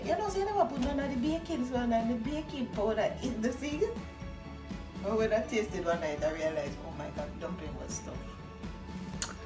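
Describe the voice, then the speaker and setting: A middle-aged woman with a Jamaican accent giving casual cooking instruction as a host. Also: fairly high pitch. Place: echoey home kitchen. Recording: echoey room, faint music. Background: faint music.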